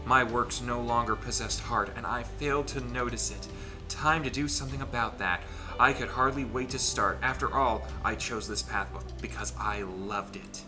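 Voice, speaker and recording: A man's voice: medium pitch, normal speed. A man in a casual let's play, reading visual novel text aloud and joking as he goes. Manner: joking, animated, breaks into laughter. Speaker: gaming channel player reading game aloud. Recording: music behind the voice. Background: game music.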